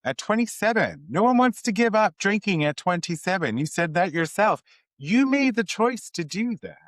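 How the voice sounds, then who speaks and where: A man's voice: high-pitched, big pitch swings, moderate pace, dry recording. A man hosting a conversational interview, speaking in an earnest, encouraging way to his guest. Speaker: podcast host.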